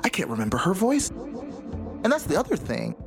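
An interview guest speaking reflectively and conversationally, in an emphatic, expressive storytelling way. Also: mid-range voice, normal speed. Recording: music playing behind the voice.

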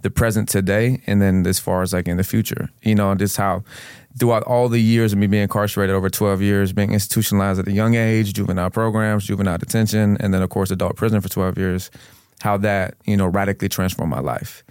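A man's voice: fairly low pitch, level tone, fast, a little mellow, clean tone, no echo, studio quality. A man raised in Florida, a podcast guest talking in laid-back street slang about his past. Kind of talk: casual interview chat.

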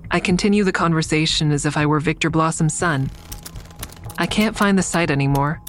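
A woman's voice: low-pitched, brisk, faint music behind, close to an everyday voice. An actress playing a guarded, stressed woman investigator who also narrates in a first-person audio drama. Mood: subdued, uneasy. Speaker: narrator and lead character.

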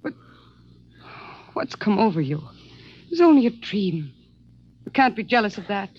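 Slow, dreamy Martian wife. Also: female voice, mid-range voice, very animated, darkish tone, husky. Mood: anxious, placating. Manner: hesitant, musing, trailing off. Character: dreamy, wistful Martian wife, restless and evasive. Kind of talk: 1950s radio drama acting. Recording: dull vintage 1950s radio recording.

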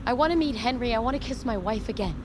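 A woman's voice: a little high, animated, fast talker, noisy background, close to an everyday voice. An actress playing a desperate, earnest woman stranded at sea in an audio drama, urgent and emotional. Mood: desperate pleading. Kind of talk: audio fiction drama acting.

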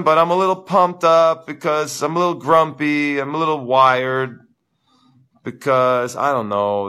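A man hosting a live viewer Q&A as a tennis coach, with a big booming voice and an animated, ranting delivery full of repeats and "you know". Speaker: tennis coach, show host. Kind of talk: live-stream chat with viewers.

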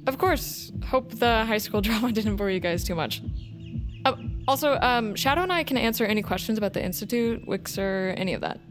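An actress playing a spirited, emotional high-school girl in a dramatic audio fiction, with music behind her voice. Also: animated, fairly quick, lightly acted. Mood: awkward, friendly. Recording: music under the voice.

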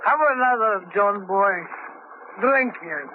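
A sly, wheedling crook with a strikingly high, unhurried voice. He coaxes his simple-minded partner along. It comes through a thin, phone-like old radio recording with light hiss. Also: animated. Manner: coaxing, cackling. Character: scheming wheedling small-time crook, adult man. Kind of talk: 1950s radio drama acting. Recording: tinny old radio broadcast, faint hiss.

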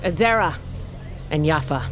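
Stern, low-voiced warrior queen. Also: expressive, a little slow, over music. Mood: cold, accusing. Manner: cold, commanding, clipped. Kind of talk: cinematic audio drama acting.

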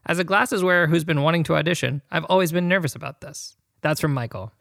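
Creaky-voiced American man, podcast co-host. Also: on the high side, fast talker, a bit bright, no room sound, clean studio sound. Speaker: podcast co-host reading listener questions. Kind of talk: podcast talk and reading aloud.

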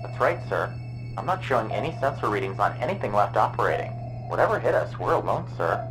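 An actor playing an anxious submarine officer in an audio drama, reporting readings in clipped military fashion. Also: male voice, lowish voice, sing-song, fast, music in the background, rough recording. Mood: subdued, tense.

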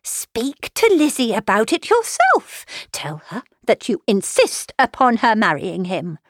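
A woman narrator acts out an agitated, scheming mother of middle age from a classic novel. Her voice leaps high and shrill, fussing and insisting in exclamations. The sound is close and dry. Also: huge pitch swings, measured pace, dry recording, exaggerated voice. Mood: frantic. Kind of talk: audiobook character voice, classic novel.

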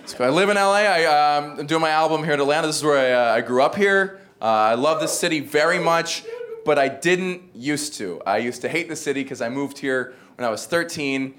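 A man's voice: a little high, fairly quick. A young man from the American South with no regional accent, performing a stand-up comedy set with dry, self-mocking asides. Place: comedy club stage with a live audience. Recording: live club recording with loud audience laughter.

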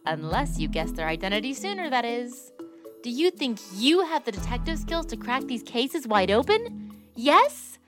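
Female promo announcer, kids' mystery trailer: excitable, higher-pitched, theatrical, over prominent music. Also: moderate pace. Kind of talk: dramatic scripted trailer for a children's mystery podcast. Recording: loud music under voice.

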